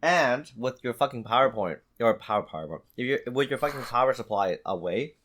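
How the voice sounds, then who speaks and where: A man's voice: mid-range voice, lively intonation, a little fast, a bit bright, a little hiss or hum. A man chatting casually as a podcast co-host, informal and given to swearing. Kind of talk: casual chat.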